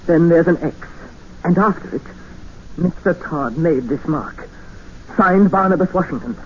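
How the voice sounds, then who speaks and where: An actor playing an educated English captive, narrating and speaking in an earnest, formal, dramatic 1950s radio style. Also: male voice, a little high, animated, unhurried, slight background noise. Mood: tense, accusing. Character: desperate, educated male English captive expedition member, narrating. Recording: muffled old radio recording with music.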